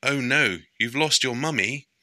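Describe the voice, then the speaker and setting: A man's voice: medium pitch, a little slow, sharp tone, no room sound, clean studio sound, pitched above the performer's own voice. A man voicing a bossy, eager little girl squirrel leader in a storybook, with exclamatory commands. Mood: urgent, encouraging.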